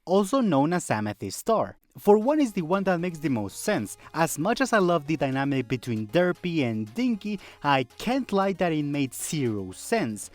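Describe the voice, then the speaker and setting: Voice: mid-range voice, very animated, normal speed, hardly any pauses, no room sound, faint music behind. A video essayist narrating a scripted fandom video in a conversational way, with rhetorical questions and wry asides.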